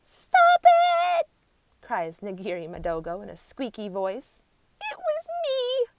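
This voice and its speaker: A woman voicing a timid little male warthog with a squeaky voice in a storybook. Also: wildly swooping pitch, takes it slowly, no room sound, heavily acted. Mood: frantic.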